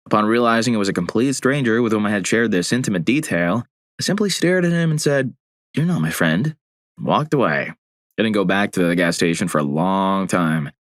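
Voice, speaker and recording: A man reading out story submissions, with deadpan, wry asides between them. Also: lowish voice, fairly quick, dry recording, studio quality. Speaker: narrator. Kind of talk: reading story submissions aloud with short quips.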